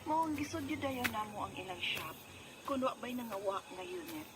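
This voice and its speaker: A woman's voice: a little high, lively intonation, moderate pace, background noise, natural voice. A woman acting a worried wife who recounts her family's troubles in a radio drama, with a Cebuano Filipino accent. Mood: troubled, recounting.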